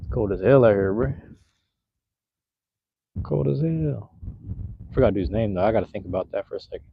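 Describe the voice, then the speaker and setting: A man's voice: fairly low pitch, expressive, moderate pace, gravelly, slight background noise. Laid-back slangy man on a phone livestream. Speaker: livestream host. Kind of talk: casual live chat with viewers. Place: outdoors at night in a park, cold drizzle. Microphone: handheld phone. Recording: slightly dull lo-fi phone audio.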